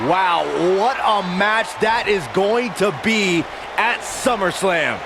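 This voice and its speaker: A man playing an excitable ringside wrestling commentator in a video game. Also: sits high, takes it slowly. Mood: excited. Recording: roaring arena crowd and loud noise.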